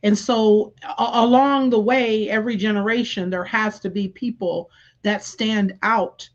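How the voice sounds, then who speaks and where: A woman educator talks in an earnest, reflective way and often restarts her sentences. Her voice sits at a middle pitch, and the recording sounds slightly dull and lo-fi. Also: steady tone, measured pace. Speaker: educator and author guest. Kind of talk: casual conversational interview.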